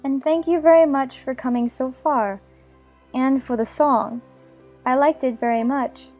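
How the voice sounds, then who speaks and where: A woman's voice: high-pitched, steady tone, a little slow, darkish tone, soft background music. A woman playing a polite, curious young girl in a dramatized storybook audiobook, on a slightly dull recording.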